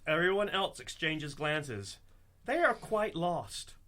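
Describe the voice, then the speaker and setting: A man's voice: fairly high pitch, sing-song, takes it slowly, fairly bright tone, heavily acted. A man acting as the theatrical narrator of a fantasy comedy radio play, reading scripted scene descriptions. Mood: wry storytelling.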